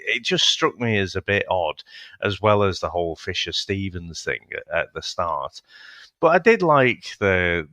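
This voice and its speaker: A man, hosting a podcast and talking through a film in a measured, easygoing way. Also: low-pitched, bright, forceful tone, no echo, studio quality. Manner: easygoing, measured, laughs along. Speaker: podcast host. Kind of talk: casual film review chat.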